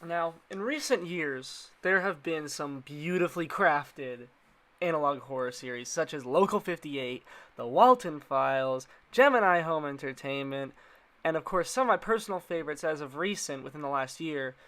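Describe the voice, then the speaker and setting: Higher-pitched man giving casual slangy commentary. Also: lively intonation, moderate pace, a bit bright. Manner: informal, slangy, playful asides. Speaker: video narrator.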